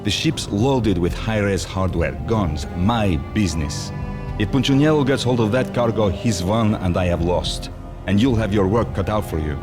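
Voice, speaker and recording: Actor as a low-voiced, playful mobster gun-runner. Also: male voice, normal speed, natural voice. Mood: businesslike, persuasive. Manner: playful, theatrical, persuasive. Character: suave, wry male mobster gun-runner making offers. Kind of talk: video game character acting. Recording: loud music over the voice.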